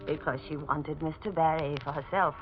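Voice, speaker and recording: An actress playing a bitter, spiteful middle-aged housekeeper, sinister and insinuating, in a 1940s radio mystery. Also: female voice, low-pitched, fairly even intonation, normal speed, soft background music, close to an everyday voice. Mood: bitter, gloating. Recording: dull old radio recording.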